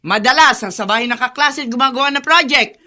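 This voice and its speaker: A woman's voice: high voice, fairly quick, bright, forceful tone. A woman playing a callous, brash, lying aunt in a Filipino radio drama, scolding and dismissive. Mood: irritated, dismissive.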